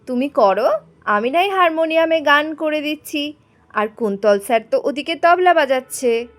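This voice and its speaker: A woman's voice: high voice, animated, normal speed, voice pushed up. A woman voicing an encouraging schoolteacher who coaxes and instructs, in a doll story. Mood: coaxing. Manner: coaxing, instructing. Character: encouraging female schoolteacher hosting a school flag ceremony.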